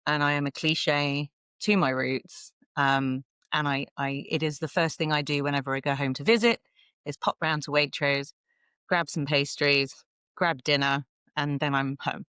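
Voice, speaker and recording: A woman's voice: deep voice, fairly even intonation, moderate pace, a bit bright, clean tone, no room sound. A woman with a southern English accent, talking casually and chattily as a podcast guest, with some self-deprecation. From south of England, London suburbs. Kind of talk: casual conversational interview.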